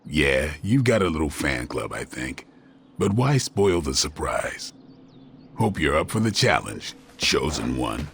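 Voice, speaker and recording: An actor playing a cool, gruff vampire hunter with a sardonic, dry manner in a video game. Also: male voice, rumbling low, very animated, a little slow, fairly bright tone, slight background noise, exaggerated voice. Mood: dry, teasing.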